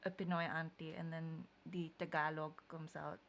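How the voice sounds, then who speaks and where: A woman's voice: low voice, little pitch movement, moderate pace, fairly bright tone, a little hiss or hum. A young woman raised in Malaysia and the Philippines chatting casually and playfully with her partner, often finishing his sentences. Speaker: co-host, partner.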